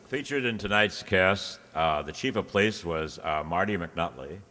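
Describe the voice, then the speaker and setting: A man's voice: deep voice, animated, normal speed, background noise. A man reading out cast credits as an announcer for a radio play. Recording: echoey hall.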